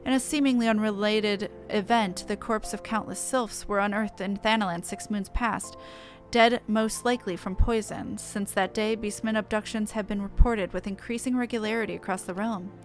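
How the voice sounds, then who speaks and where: A woman's voice: medium pitch, close to an everyday voice. Woman reading as a grave, eloquent fantasy investigator. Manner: formal, measured, dramatic delivery.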